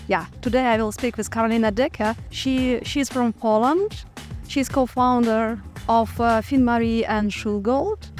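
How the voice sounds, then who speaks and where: Host, female, from Ukraine, bright higher voice, halting delivery, music under her. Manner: hesitant, halting with fillers. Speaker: interview host. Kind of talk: casual interview.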